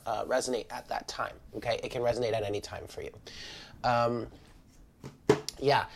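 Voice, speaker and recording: Tarot reader, congested stuffy nose, medium pitch, informal chatty delivery, spiritual card reading, speaking to viewers. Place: indoor room at a table.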